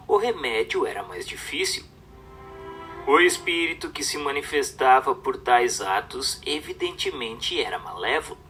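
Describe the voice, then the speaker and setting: A man's voice: fairly high pitch, pushed and bright. A man reading a religious book as dramatic scripted narration, in a solemn, emphatic, declamatory manner.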